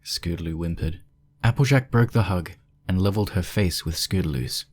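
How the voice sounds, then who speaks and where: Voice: low-pitched, moderate pace, a little mellow, slight background noise. A narrator reading a scripted fan fiction story aloud.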